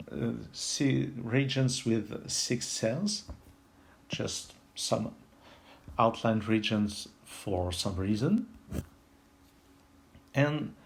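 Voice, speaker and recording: A man from France with a French accent, giving an unscripted puzzle tutorial in a halting, step-by-step way, thinking aloud. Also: mid-range voice, a little hiss or hum. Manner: halting, pauses mid-sentence, explains step by step. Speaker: puzzle video host.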